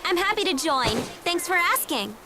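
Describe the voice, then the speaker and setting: A woman playing a perky, eager and headstrong teenage girl adventurer in a video game, bubbly and expressive. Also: extremely high pitch, moderate pace, music in the background. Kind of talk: video game English dub acting.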